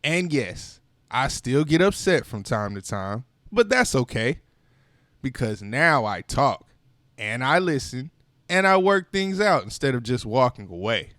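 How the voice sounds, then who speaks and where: A man reading a children's picture book aloud, expressive and playful, with voiced sighs and exclamations. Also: medium pitch, unhurried, dry recording, clean studio sound. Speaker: storytime reader. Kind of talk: children's read-aloud narration.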